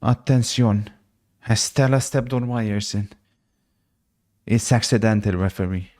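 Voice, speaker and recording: A man's voice: on the low side, measured pace, a little mellow. Chatty man commentating a football watch-along. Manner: chatty, reacts to the match and reads out viewer messages. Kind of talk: casual live match commentary and chat.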